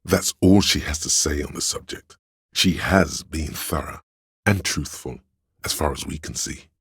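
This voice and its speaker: An extremely deep, gravelly theatrical schemer. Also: male voice, unhurried, no room sound, studio quality, heavily acted. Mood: knowing, courtly. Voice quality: gravelly, husky. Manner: theatrical, archaic, sly courtly flourishes. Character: theatrical, scheming inner voice of drama, a courtly servant. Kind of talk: video game voice lines, addressing a liege.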